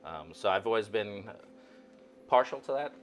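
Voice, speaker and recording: A man's voice: lowish voice, expressive, normal speed, slight background noise. A man with a South African accent chatting casually as an interview guest, playful and rambling, often repeating words. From South Africa and Zimbabwe. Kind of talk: casual chat.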